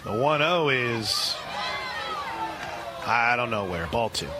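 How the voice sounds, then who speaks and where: A man doing live radio play-by-play of a softball game, relaxed and chatty, lifting his voice on big plays. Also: medium pitch, takes it slowly, a crowd in the background, background noise.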